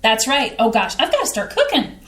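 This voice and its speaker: A woman's voice: a little high, a little fast. An actress reading a chatty, earnest middle-aged mother in a staged play reading. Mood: cheerful. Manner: lively, earnest. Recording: echoey room.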